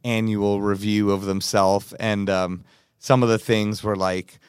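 An easygoing male podcast host with a fairly low voice, recorded close and dry. He talks casually and fills his speech with quick words of agreement. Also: little pitch movement, clear, resonant tone, dry recording. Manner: easygoing, frequent fillers and agreement. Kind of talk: casual conversation.

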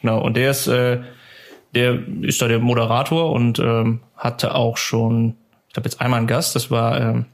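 Male podcast co-host, medium pitch with plenty of creak, informal and easygoing. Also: little pitch movement, moderate pace. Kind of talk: casual podcast chat.

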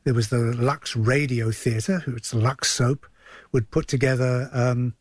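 A man's voice: mid-range voice, fairly even intonation, unhurried, no room sound. A man speaking as a theatre director and studio guest, explaining things conversationally like a storyteller. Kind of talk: conversational interview.

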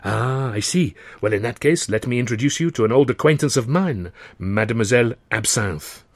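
A man's voice: medium pitch, expressive, normal speed, no echo. A man voicing an effusive, worldly Frenchman of the stage in an audiobook, melodramatic and flowery in his phrasing. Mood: suave.

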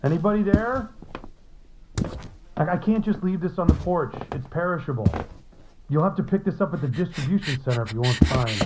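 Guy playing harried delivery driver, highish voice, muttery, lots of noise behind. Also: animated, brisk, long pauses, natural voice. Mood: impatient. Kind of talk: audio drama acting. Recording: very noisy background.

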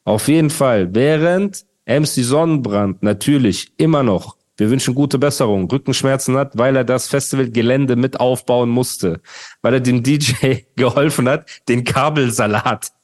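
A man's voice: mid-range voice, moderate pace, a little mellow. A male podcast host ranting and mocking in casual, slangy chat.

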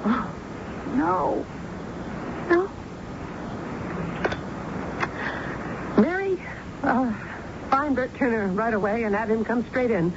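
Woman playing a dramatic, high-voiced troubled mother. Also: measured pace, close to an everyday voice. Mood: shaken. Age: middle-aged. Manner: dramatic, emotional. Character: hard, bitter, guilt-ridden middle-aged mother. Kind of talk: 1970s American radio drama acting. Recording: muffled, very noisy old radio broadcast.

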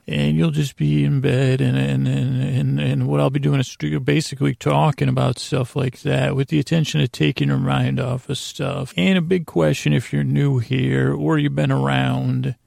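A man hosting a sleep podcast in a rambling bedtime monologue, soothing and lulling, halting and repeating his words, with a gravelly voice. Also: mid-range voice, level tone, darkish tone, no echo, studio quality. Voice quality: gravelly, creaky.

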